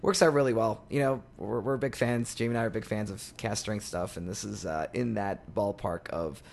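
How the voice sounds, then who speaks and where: A man's voice: fairly low pitch, normal speed, gravelly, a little mellow, slight background noise. A man co-hosting a podcast, chatting casually and rambling with lots of fillers and restarts. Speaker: podcast co-host. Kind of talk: casual chat.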